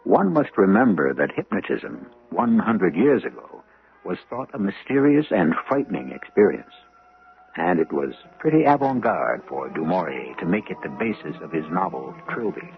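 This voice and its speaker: A man hosting and narrating a 1970s radio mystery, theatrical, with dramatic pauses. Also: low voice, sing-song, vocal fry, faint music behind. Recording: muffled, lo-fi old radio recording.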